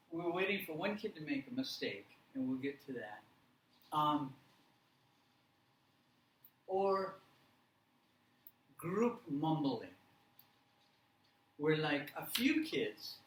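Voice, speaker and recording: A man presenting an interactive workshop for teachers, speaking haltingly and emphatically with frequent pauses and check questions. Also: on the high side, sing-song. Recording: roomy, distant mic, faint noise.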